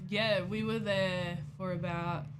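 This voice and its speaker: A woman's voice: lowish voice, fairly even intonation, slow. Chatty Italian-born woman, noisy room. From Italy. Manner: chatty, laughs often, acts out her own past thoughts. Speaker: podcast guest. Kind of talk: casual chat.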